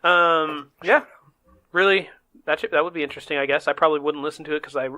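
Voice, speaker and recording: A man's voice: fairly high pitch, fast talker, bright, forceful tone. A man hosting a casual gaming podcast, joking around and laughing often, with lots of fillers. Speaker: podcast host.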